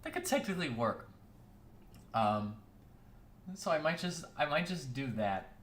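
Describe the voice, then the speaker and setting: A man hosting a weekly casual chat show, talking in a rambling way with lots of fillers and restarts, his voice hoarse. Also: fairly high pitch, sing-song, unhurried, fairly bright tone, echoey room, slight background noise. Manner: rambling, filler-heavy, self-correcting. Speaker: host.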